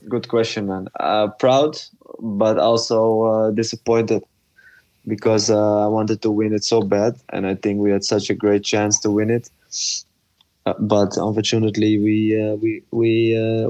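A Dutch-accented man speaks in a low, level voice with little melody. He is a little under the weather and pauses often with ums as he answers frankly. The sound is close and dry. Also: flat delivery, a little mellow, no echo, poor audio. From Netherlands. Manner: hesitant, many ums and restarts, candid. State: slightly ill with a fever. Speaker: professional footballer guest. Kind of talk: casual podcast interview.

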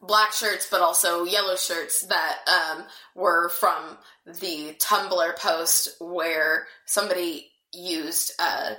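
Bright-voiced woman, echoey room. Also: fairly low pitch, fairly even intonation, slow pace, hardly any pauses. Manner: thoughtful, rambling, hedges with "I mean". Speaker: podcast guest. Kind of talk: casual chat.

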